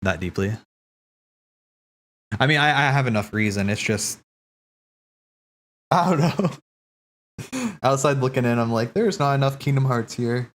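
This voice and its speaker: A man's voice: medium pitch, lively intonation, measured pace, pauses often. A man streaming a reaction to game cutscenes, chatting casually and thinking aloud with lots of fillers and restarts. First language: English. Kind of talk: casual livestream chat.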